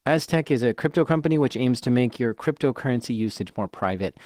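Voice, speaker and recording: A male podcast host narrates in a clear, explanatory way and asks short follow-up questions. His pitch is an ordinary middle. The sound is dry and close, with no room sound. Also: animated, fast, a little mellow, no echo.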